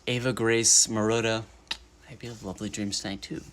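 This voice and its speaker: A man reading a children's storybook aloud in an animated narration style, as a bedtime story livestreamer. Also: on the low side, a little fast, noisy background.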